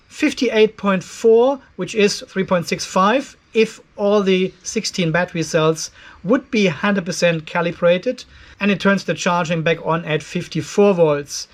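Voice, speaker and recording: A man in his fifties giving a casual, conversational explainer, going step by step and openly critical. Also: high-pitched. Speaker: host of an off-grid solar channel. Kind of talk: casual explainer vlog to camera. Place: cluttered garage workshop.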